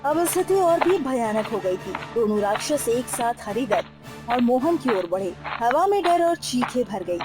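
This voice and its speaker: A woman's voice: a little high, very animated, unhurried, music in the background. A woman narrator reading a scripted story in a dramatic style.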